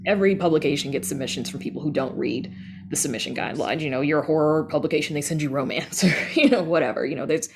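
A woman's voice: sits low, quick pace, darkish tone, slightly rough. A woman giving casual, candid and emphatic answers as an interview guest on a podcast.

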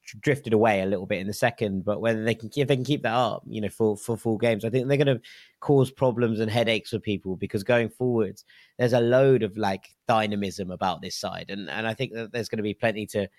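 A male podcast host with a somewhat low voice talks football with keen enthusiasm, often doubling words. The sound is dry and close, with no room echo. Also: steady tone, brisk, darkish tone. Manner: enthusiastic, many likes and repeated words. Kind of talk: casual football chat.

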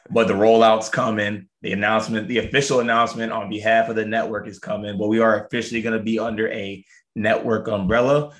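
Hyped man with a lowish raspy voice. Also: a bit bright. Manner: hyped, playful, banters. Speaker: podcast co-host. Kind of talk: casual podcast chat.

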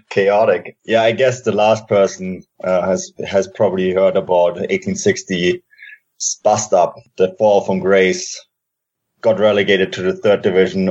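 A man's voice: sits low, a little mellow. A man, a podcast guest explaining at length with fillers. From Germany. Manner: fast explanatory talk with fillers. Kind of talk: casual podcast chat. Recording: dull lo-fi audio.

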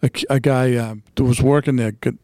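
A man, telling anecdotes in a plainspoken, halting, casual way. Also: medium pitch, fairly even intonation, dark tone, no echo, studio quality. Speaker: podcast co-host.

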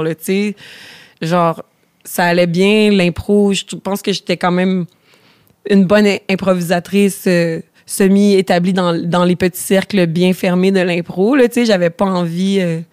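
A woman's voice: fairly low pitch, steady tone, unhurried, a little mellow, no echo, studio quality. A woman in her thirties with a Québécois French accent, a comedian chatting as a podcast guest, self-deprecating, with many fillers and restarts. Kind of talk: casual podcast conversation.

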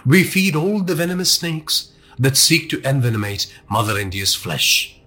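A man voicing a sadistic male villain in an audiobook, with a silky, husky, slow and taunting menace. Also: mid-range voice, sing-song, pushed and bright, faint music behind. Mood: smug menace. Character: smooth-talking sadistic male villain taunting over a radio.

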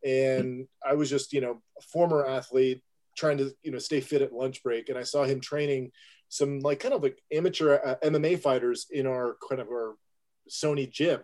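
A man's voice: medium pitch, fairly even intonation, moderate pace, a little mellow. A man who is a filmmaker and guest, telling a story with enthusiasm and animation. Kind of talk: casual storytelling in an interview.

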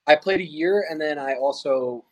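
A man's voice: a little high, normal speed, a little mellow, muffled recording. A young man, a college baseball player, talking easily as a podcast guest and telling stories. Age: young adult. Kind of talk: casual interview chat.

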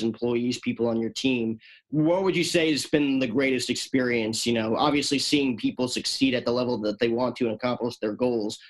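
A man in his early twenties hosting a casual interview, asking loose, rambling questions in a relaxed way. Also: medium pitch. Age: 20s. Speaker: podcast host.

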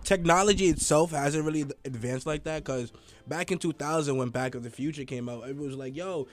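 A man's voice: fairly high pitch, fairly quick. A man talking casually in a group podcast discussion.

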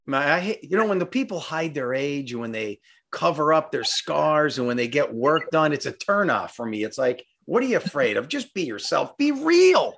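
A man's voice: a little high, very animated, moderate pace, vocal fry, fairly bright tone. A man hosting a podcast, interviewing in a casual, playful and teasing way.

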